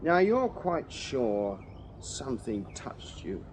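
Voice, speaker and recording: An actor playing a shrewd, dry-witted Victorian police sergeant in a radio drama. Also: male voice, medium pitch, sing-song, takes it slowly, slight background noise. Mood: courteous. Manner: dry, wry questioning. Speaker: detective sergeant.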